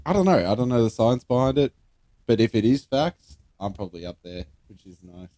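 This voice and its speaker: A man's voice: medium pitch, very animated, brisk, mellow. A young Australian man co-hosting a podcast, bantering casually and bluntly with plenty of swearing and slang. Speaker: podcast co-host.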